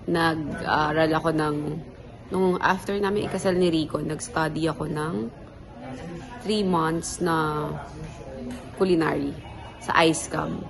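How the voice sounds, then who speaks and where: A woman in her thirties with a Filipino accent, vlogging outdoors on a phone at arm's length, excitable and gushing, mixing English into Tagalog. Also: sits low, a little slow, pauses often, crowd noise, poor audio. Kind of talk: casual travel vlog. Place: outdoors at a town plaza and market. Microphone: phone held at arm's length.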